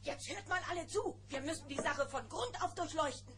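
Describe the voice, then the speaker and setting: High-voiced bossy mother bear. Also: a little fast, fairly bright tone. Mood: exasperated. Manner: scolding, emphatic. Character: bossy, motherly female gummi bear, easily exasperated. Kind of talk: German children's cartoon audio play acting. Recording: cassette audio play with music behind.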